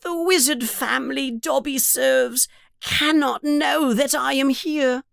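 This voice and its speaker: A woman's voice: high-pitched, steady tone, slow, creaky, dry recording, studio quality, voice pushed up, exaggerated voice. A woman voicing a frightened, devoted male house elf servant in a storybook, pleading dramatically and speaking of himself in the third person. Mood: fearful, servile.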